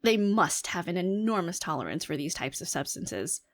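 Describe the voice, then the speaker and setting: A woman's voice: lowish voice, sing-song, moderate pace. A woman playing an alien head scientist in a scripted audio roleplay, briefing her commander in a formal, earnest, analytical and emphatic way. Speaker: voice performer. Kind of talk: scripted fictional ASMR roleplay monologue.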